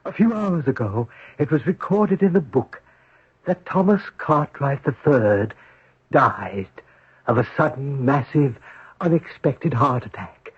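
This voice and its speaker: An actor playing a supernatural giant servant, formal, measured and deferential, in a 1970s radio drama. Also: male voice, mid-range voice, lively intonation, husky. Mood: calm, ominous. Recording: roomy, muffled 1970s radio broadcast.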